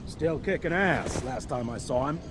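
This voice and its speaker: A man's voice: fairly high pitch, expressive, moderate pace, close to an everyday voice. A man playing a brash, urgent field agent in a video game cutscene. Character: brash, foul-mouthed, urgent male sidekick agent. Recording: very noisy outdoor-like background.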